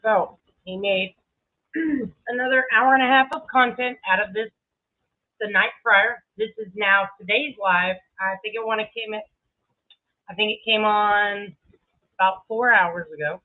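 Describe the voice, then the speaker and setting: A woman's voice: mid-range voice, steady tone, measured pace, pauses often. Woman commentator with thin phone-like sound. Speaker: live-stream host. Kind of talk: casual live commentary on played clips. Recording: thin phone-like band-limited audio.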